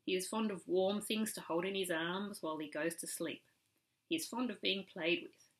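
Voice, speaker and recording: A woman voicing a little gossipy bat who brings news in a children's story, in a measured, deliberate way. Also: fairly low pitch, natural voice, low-quality recording. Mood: informative.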